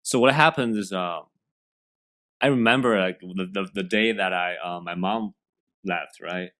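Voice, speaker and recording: A young man from China with a Chinese accent, a guest in a podcast interview, speaking earnestly and haltingly with many restarts. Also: low voice, fairly bright tone.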